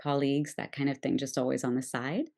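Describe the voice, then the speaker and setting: A woman's voice: extremely low pitch, flat delivery, fast talker, creaky, darkish tone. A woman in her thirties with a Canadian accent, talking reflectively about herself as a podcast guest in a thoughtful, hesitant, rambling way. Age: 30s. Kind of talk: reflective personal conversation.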